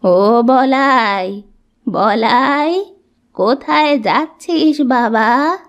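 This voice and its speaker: Slow, drawling old granny acted by a woman. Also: on the high side, highs cut off. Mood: coaxing. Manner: drawn-out, affectionate calling. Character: kindly old village granny needing help.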